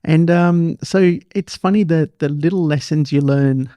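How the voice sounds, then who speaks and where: A man's voice: on the high side, unhurried, dark tone, dry recording. A man hosting a casual, conversational interview. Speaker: show host, interviewer.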